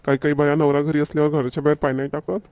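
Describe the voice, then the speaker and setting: A man's voice: on the high side, flat delivery, very fast, a little mellow, dry recording, lightly acted. A man voicing a bossy, self-pitying middle-aged husband in a village cartoon, nagging repetitively in a Varhadi Marathi accent. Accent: Varhadi Marathi of Vidarbha. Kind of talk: amateur cartoon comedy dialogue.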